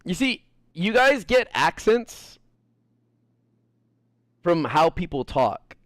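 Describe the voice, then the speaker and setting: Animated American man, high and lively. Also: slow, no room sound, clean studio sound. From United States. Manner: animated, profane, laughs often. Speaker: gaming streamer. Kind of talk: casual chat while gaming.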